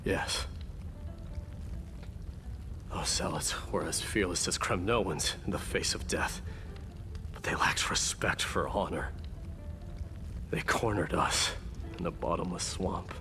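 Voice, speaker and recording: Stoic warrior prince from a game, really deep and slow, solemn and mournful. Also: male voice, over music. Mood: grave, sorrowful. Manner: solemn, measured, reflective. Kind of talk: dramatic scripted game narration.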